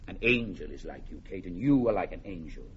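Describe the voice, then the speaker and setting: A man's voice: low-pitched, animated, a little fast, breathy edge, full character voice. An actor playing a bold, plain-spoken English warrior king who woos ardently, in classic Shakespearean radio drama style. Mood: ardent wooing. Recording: muffled old radio recording, faint noise.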